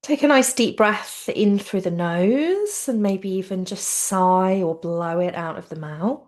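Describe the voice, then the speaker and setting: Woman, medium pitch, slow delivery, soothing, gentle guided relaxation, informal yoga instructor. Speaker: yoga nidra teacher.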